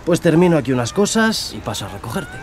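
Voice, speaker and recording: An actor playing a charming young male serial killer in an audio drama, with soft-spoken, falsely tender politeness and a menacing edge. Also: fairly high pitch, sing-song, quick pace, slight background noise. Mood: sweet, deceptive.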